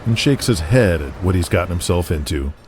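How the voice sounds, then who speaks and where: A man's voice: sits low, animated, a little fast, very noisy. A man narrating a scripted audio drama as its announcer, in a dramatic storytelling style. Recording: music bed behind voice. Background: guitar music.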